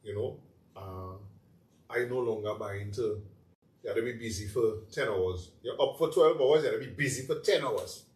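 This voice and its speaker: A man's voice: medium pitch, moderate pace, darkish tone. A man with a Trinidadian accent chatting as a talk show guest, telling stories animatedly in Creole phrasing. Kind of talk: casual chat. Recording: echoey room, mic at a distance, faint noise.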